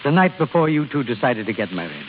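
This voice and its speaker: An actor playing a gruff but concerned old father in vintage radio drama, plain-spoken and earnest. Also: mid-range voice, big pitch swings, fast, natural voice. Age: older. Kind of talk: 1930s-40s radio drama acting. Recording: tinny, hissy old radio recording.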